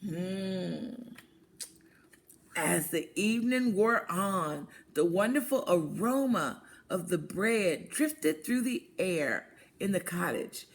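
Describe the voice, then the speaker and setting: A woman reading a picture book aloud to children, expressive and deliberate, pausing to prompt her listeners. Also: fairly low pitch. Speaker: children's read-aloud storyteller, teacher.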